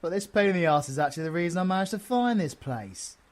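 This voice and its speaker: A man's voice: high-pitched, expressive, fast. A British man playing a cocky, sardonic, foul-mouthed but affectionate supervillain in an audio roleplay, with slangy, teasing delivery. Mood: playful teasing. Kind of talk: audio roleplay drama acting.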